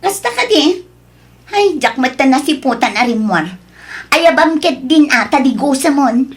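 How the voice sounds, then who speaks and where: A woman playing a demanding, selfish mistress in an Ilocano radio drama, coaxing and haughty, quick to snap. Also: high voice, lively intonation. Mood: defiant.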